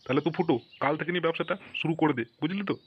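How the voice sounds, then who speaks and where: A man playing a gruff, excitable middle-aged village father in a comic cartoon, exclaiming in slangy speech. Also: a little high, very fast, natural voice. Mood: pleased. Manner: exclaiming, slangy, tag questions. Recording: dull lo-fi audio with some noise.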